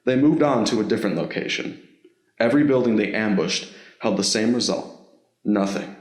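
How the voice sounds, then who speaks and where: A man narrates a scripted story in a low voice. The recording is roomy, with clear echo around his words. He reads as the narrator of an audio drama. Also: normal speed, a little mellow.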